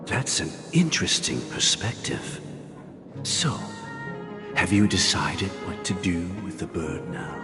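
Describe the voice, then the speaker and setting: An actor playing an elderly, fatherly mentor in a game, speaking in gentle, measured, formal phrases. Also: deep voice, very animated, full character voice. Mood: gently curious. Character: elderly fatherly mentor, calm, benevolent family patriarch. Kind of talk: scripted game voice acting. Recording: long hall reverb over music.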